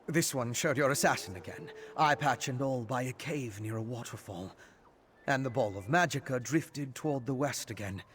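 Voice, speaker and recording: An actor playing an articulate, earnest scholar companion in a fantasy video game. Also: male voice, mid-range voice, normal speed, fairly bright tone, a little hiss or hum, natural voice. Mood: intrigued, urgent. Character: scholarly male adventuring companion, curious and urgent.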